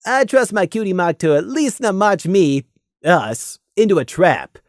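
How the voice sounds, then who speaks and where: A winged pony sergeant from a fantasy storybook, acted out by a man, talks in a raised, lively voice. He reasons things through and reassures in a level-headed way. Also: high voice, measured pace, dry recording, studio quality, voice pushed up, full character voice. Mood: trusting.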